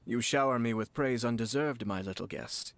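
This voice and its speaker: A man voicing a composed young noble clan head who speaks in a formal, measured, gracious way. Faint music plays beneath. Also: medium pitch, a bit bright, lightly acted. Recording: game audio with faint music.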